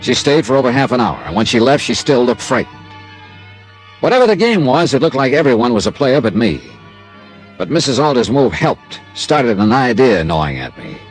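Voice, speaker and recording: An actor playing a tough, wry insurance investigator in a classic radio drama, clipped and sardonic. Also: male voice, medium pitch, very animated, moderate pace, lightly acted. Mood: dry narrating. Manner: clipped, sardonic, hard-boiled. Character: tough, wry freelance insurance investigator, narrating his case. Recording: vintage radio drama with music.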